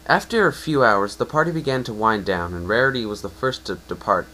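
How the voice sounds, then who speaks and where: A man's voice: on the low side, animated, a little fast, bright, forceful tone, rough recording. A man reading fan fiction aloud as a dramatic second-person narration, now and then tripping over phrases. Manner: stumbles and rereads phrases.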